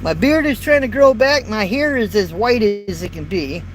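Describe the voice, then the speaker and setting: Man in his fifties, high light voice, rambling folksy chat, in a truck cab, faint traffic behind. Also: expressive, normal speed. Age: 50s. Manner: rambling, folksy, repeats words. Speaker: truck driver vlogger. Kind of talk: casual live chat to viewers. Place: inside a parked truck cab. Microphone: built-in phone or camera mic. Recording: faint road and traffic noise.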